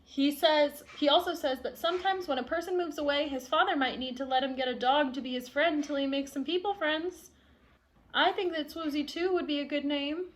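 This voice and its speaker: Woman reading as a stubborn, high-voiced young boy. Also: steady tone, brisk, close to an everyday voice. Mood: reluctant. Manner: emphatic, defiant first-person storybook delivery. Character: stubborn young boy refusing to move house.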